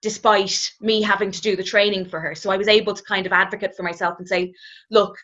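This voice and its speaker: A woman in her twenties with an Irish accent, a guest telling her story in a relaxed, reflective way and often hedging with "kind of". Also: mid-range voice, quick pace. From Ireland. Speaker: interview guest. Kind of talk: casual conversational storytelling. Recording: slightly dull, muffled audio.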